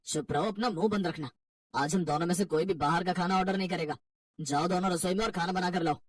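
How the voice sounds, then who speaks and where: A man's voice: sits high, quick pace, recorded from a distance, lightly acted. A man voicing a fed-up, stern adult son with a curt, reproachful manner in a family cartoon. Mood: angry. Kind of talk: Hindi family cartoon voice acting.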